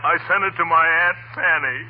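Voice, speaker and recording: An actor playing a pompous, blustering aggrieved husband in a 1940s radio comedy. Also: male voice, a little high, steady tone, normal speed, natural voice. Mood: indignant, desperate. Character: blustering, aggrieved husband, desperate then chortling neighbor. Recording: noisy, tinny 1940 radio broadcast.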